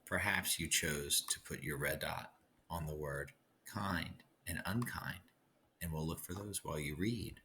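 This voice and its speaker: A man with a very low voice leads a recorded read-aloud lesson. He speaks as a teacher, addressing his students directly in an instructional way. There is faint noise behind him. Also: a little slow, sharp tone.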